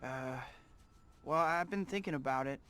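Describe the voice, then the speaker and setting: An actor playing an earnest, wry young superhero student with an American accent in a video game. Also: male voice, a little high, moderate pace, smooth. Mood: apologetic. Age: young adult. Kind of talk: cinematic voice-over narration and dialogue. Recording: loud music underneath.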